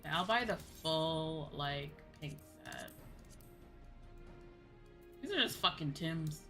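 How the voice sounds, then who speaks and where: Bright-voiced woman chatting on a game stream. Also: low-pitched, takes it slowly, faint music behind. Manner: excitable, exclaiming. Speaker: livestreamer. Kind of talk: casual game livestream chat.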